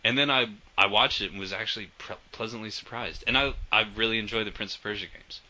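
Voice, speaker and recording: Bright, animated podcast guy. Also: on the low side, quick pace. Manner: animated, rambling, laughs often, swears casually. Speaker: podcast co-host. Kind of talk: casual podcast chat. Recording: dull lo-fi podcast audio.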